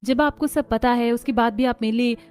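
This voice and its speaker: A woman's voice: fairly high pitch, fairly even intonation, brisk, darkish tone, clear, resonant tone, natural voice. A woman playing a poor, dutiful young woman in a moral story, speaking politely and earnestly. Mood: firm, surprised. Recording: music under the voice.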